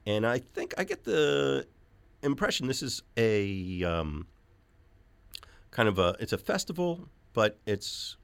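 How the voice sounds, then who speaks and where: A man's voice: mid-range voice, very animated, takes it slowly, creaky, clear, resonant tone, no room sound. A man hosting a radio show, chatting casually with a guest in a studio, laid-back and playful. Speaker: radio host. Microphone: studio microphone.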